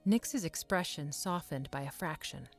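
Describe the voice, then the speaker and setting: A woman reading scripted fantasy narration in a measured, descriptive way. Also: low voice, faint music behind.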